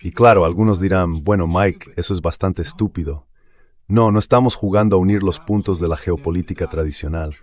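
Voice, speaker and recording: A man's voice: low-pitched, brisk, darkish tone. A man speaking as a political guest commentator, explaining things in a blunt, emphatic way in a translated voice-over.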